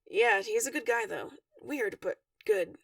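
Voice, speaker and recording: Woman voicing a snappy, awkward teenage boy, lively pitch. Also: measured pace, dry recording, far from the mic. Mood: awkward, apologetic. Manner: slangy, blunt, exasperated.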